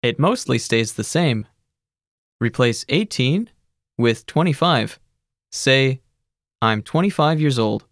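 Measured narrator of a language course. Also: medium pitch, no room sound, clean studio sound. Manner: even, measured teacherly instructions. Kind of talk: scripted instructional narration.

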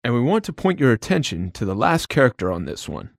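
A co-host in a scripted language lesson, explaining word meanings in short lively lines. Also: medium pitch, fast talker, gravelly, no room sound, clean studio sound.

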